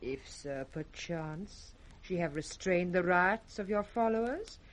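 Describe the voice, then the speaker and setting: Cool, slow princess. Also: female voice, on the low side, animated. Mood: cool reproof. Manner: measured, formal, coolly reasoning. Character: king's cold, composed, dutiful-sounding grown daughter. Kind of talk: 1960s British radio Shakespeare acting.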